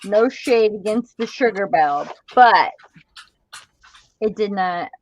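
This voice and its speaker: A woman's voice: mid-range voice, lively intonation, takes it slowly. A woman hosting a craft livestream, chatting playfully and teasing her guests while she works. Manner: playful, teasing, laughs a lot. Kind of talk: casual chat while crafting. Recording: dull lo-fi stream audio with faint hiss.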